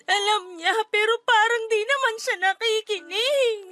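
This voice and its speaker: A woman's voice: very high voice, measured pace, pushed and bright, faint music behind, full character voice. A woman playing an anguished, fearful wife who longs for a baby, speaking in a tearful, pleading voice in a radio drama. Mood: bitter, tearful. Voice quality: tearful, sobbing voice. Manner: pleading, lamenting. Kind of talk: Tagalog radio drama acting.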